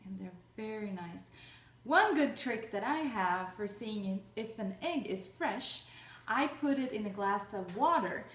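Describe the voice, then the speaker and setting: Slow, chatty woman from Russia, echoey kitchen. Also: mid-range voice, lively intonation, fairly bright tone. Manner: chatty, halting, with many fillers and restarts. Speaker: cooking vlogger. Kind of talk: casual recipe demonstration. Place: home kitchen. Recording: echoey kitchen, voice far from mic.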